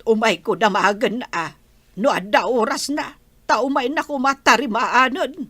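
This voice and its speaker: A woman's voice: a little high, normal speed, bright, forceful tone, no room sound. A woman playing a nosy, pestering village neighbour with an Ilocano accent in a comedy radio drama.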